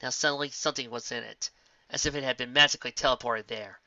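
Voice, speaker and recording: A woman narrating a story in an expressive amateur reading style. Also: very deep voice, fast talker, dry recording, muffled recording.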